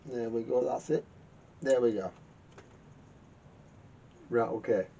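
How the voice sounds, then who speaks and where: Muffled man, halting and mellow. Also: gravelly. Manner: halting, muttering to himself, repeats words. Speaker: vintage radio repairer hosting a workshop video. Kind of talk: casual hands-on repair commentary. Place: garage workshop. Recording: muffled lo-fi sound with rumbling noise.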